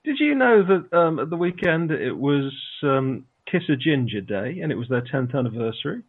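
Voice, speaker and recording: A man's voice: a little high, sing-song, moderate pace, slightly rough, narrow, tinny audio. A man playing a tabletop role-playing game, chatting with a wry, dry humour. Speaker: player. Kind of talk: casual chat at a game table.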